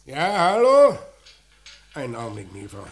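An actor playing a busy police inspector in a radio crime play, courteous but impatient, with a formal, clipped, authoritative manner. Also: male voice, mid-range voice, wildly swooping pitch, normal speed. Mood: urgent, commanding. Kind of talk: German radio drama acting.

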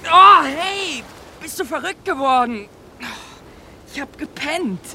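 An actor playing an eager, awkward teenage boy, halting and colloquial, in a German radio play. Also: extremely high pitch, lively intonation, noisy background, heavily acted. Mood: startled, indignant.

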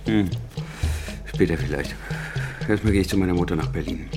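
An actor playing a terse, restless, disillusioned writer in naturalistic radio drama dialogue, with a low-key manner. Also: male voice, brisk, music in the background. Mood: reserved, resolute.

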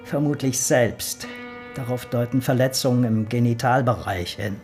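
A man's voice: medium pitch, moderate pace, darkish tone, faint music behind, close to an everyday voice. A man playing a cynical police detective in a radio crime drama, with a dry, clipped, sarcastic delivery. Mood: grave, clipped. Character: male police detective, cynical, sardonic wisecracker. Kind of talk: naturalistic German radio drama acting.

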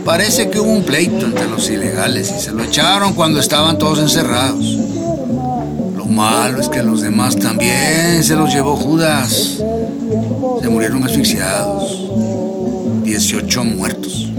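A man's voice: medium pitch, expressive, unhurried, over loud music, natural voice. A man acting a blunt, grim, pressing lawman in a radio drama, with a Mexican accent. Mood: grim, needling.

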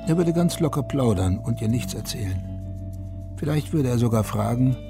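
An actor as the narrator of a melancholy radio drama, reading literary prose in a measured, wistful, gravelly way. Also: male voice, medium pitch, animated, close to an everyday voice. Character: male third-person narrator of a melancholy war story. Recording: music behind the narration. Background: music.

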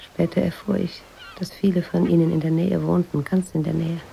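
An actress playing a reflective woman writer, narrating her memories in a measured, hushed, introspective way in a literary radio drama. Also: on the low side, level tone, vocal fry, a little hiss or hum. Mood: reflective, calm. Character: reflective American woman writer in 1950s Tangier, recalling.

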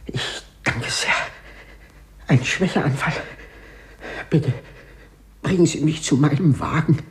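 Faint, slow-speaking office director. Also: male voice, a little high. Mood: weak, shaken. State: weak, faint. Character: shaken, faint male finance-office director, polite. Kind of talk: 1950s German radio play acting. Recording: noisy vintage radio recording.

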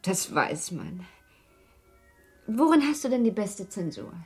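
Anxious young mom in a radio play, super breathy, tender but brittle n guilty. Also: female voice, mid-range voice, very animated, slow pace, soft background music, full character voice. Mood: strained cheer. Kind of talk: German radio play acting.

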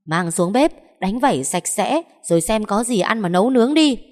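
Woman voicing spiteful old mother-in-law, screeching, scolding, high and shrill, long reverb. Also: expressive, moderate pace, a little mellow, close to an everyday voice.

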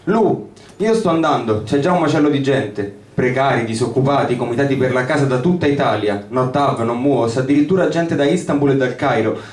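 A man's voice: mid-range voice, fairly quick, darkish tone, natural voice. A man reading aloud as an urgent, exasperated, foul-mouthed activist friend talking on the phone in a story. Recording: roomy live reading, distant mic, guitar behind.

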